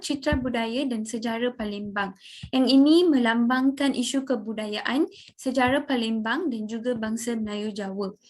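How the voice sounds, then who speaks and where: A young woman with a Malaysian accent giving a student presentation, mostly formal with casual linking words. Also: a little high. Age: young adult. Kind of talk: semi-scripted group presentation.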